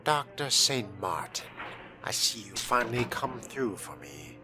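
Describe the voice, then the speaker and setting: A man's voice: mid-range voice, sing-song, measured pace, music in the background. A man narrating a role-playing game in character, with a pompous, disdainful manner and formal wording. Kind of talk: in-character roleplay commentary.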